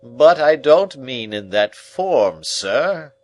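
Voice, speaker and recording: A man voicing a pompous, blustering middle-aged man who lectures a boy in a loud, drawn-out, self-important way. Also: medium pitch, very animated, no echo, pitched above the performer's own voice. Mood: hectoring.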